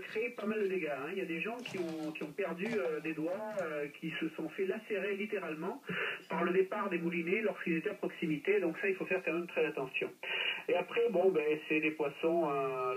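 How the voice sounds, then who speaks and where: A man chatting casually as a radio operator, pausing often and tagging his phrases, heard through a radio transmission. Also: high voice, a bit bright, slight background noise. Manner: hesitant phrasing with constant "hein" tags. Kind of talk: casual radio chat about a hobby. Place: playback from speakers captured by a phone. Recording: radio reception re-recorded off speakers, distant.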